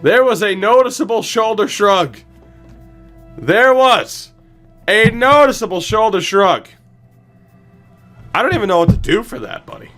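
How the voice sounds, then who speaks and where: A man commentating on a video game livestream, talking to his viewers in an animated way and repeating phrases for emphasis. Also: sits high, a little slow, pauses often, faint music behind.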